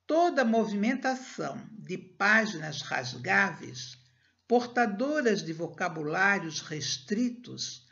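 Older female spiritist lecturer, unhurried reading, muffled video call audio. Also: mid-range voice, animated, creaky. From Brazil. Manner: slow, measured reading with soft prayerful passages. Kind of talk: reading and prayer at a spiritist meeting. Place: home room, indoors. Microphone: laptop webcam on a video call.

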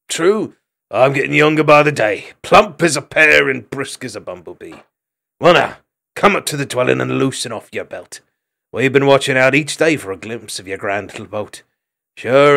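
A man's voice: medium pitch, expressive, moderate pace, creaky, fairly bright tone. Man playing a hearty fat old hedgehog chief. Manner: hearty, lilting folksy talk.

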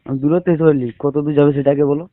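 A man's voice: on the high side, fairly even intonation, fast, phone-quality sound, slight background noise. A man, a car driver talking bluntly and impatiently in an informal phone conversation. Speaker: hire-car driver receiving a prank call.

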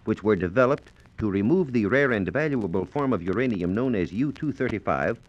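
A dull, lo-fi 1940s transcription disc carries an American man's low voice. He explains science as a formal, carefully worded radio script, with lively rises and falls in his intonation. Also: fairly quick. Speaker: science reporter and presenter.

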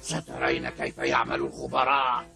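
A man's voice: medium pitch, big pitch swings, moderate pace, creaky, heavy background noise. A man playing a big burly bully, a scheming, menacing villain who blusters in a dubbed cartoon. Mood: smug grumbling. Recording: old cartoon soundtrack with music.

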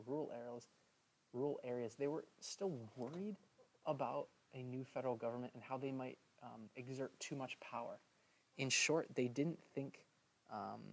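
A man teaching a history lesson over slides in a casual, chatty way, filling pauses with "um" and checking in with "right?". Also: mid-range voice, vocal fry, rough recording. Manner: chatty, many "um"s and "right?" asides.

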